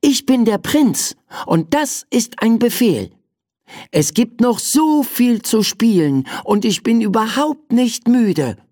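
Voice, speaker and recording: A narrator voicing a young boy prince who is playful and stubborn, in a high childlike voice. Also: very animated, takes it slowly, a little mellow, dry recording, studio quality. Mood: bossy. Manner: demanding, then whiny.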